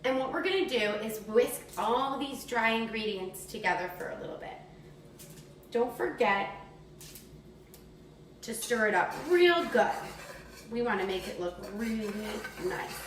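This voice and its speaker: A woman with a fairly high voice leads a cooking lesson for children at a very unhurried pace. Her wording is simple and encouraging, explained step by step. She sounds some way from the microphone in an echoey room. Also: lively intonation. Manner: simple, encouraging, instructional talk aimed at children. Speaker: teacher demonstrating a recipe for children. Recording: distant mic, echoey room, faint noise.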